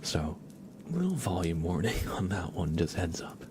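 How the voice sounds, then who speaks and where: Male ASMR streamer, deep register, soft-spoken drifting into whisper, informal and rambling, noticeable background ambience. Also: very animated, a little slow. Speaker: ASMR livestream host. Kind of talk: whispered, chatty live ASMR. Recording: clear background ambient noise.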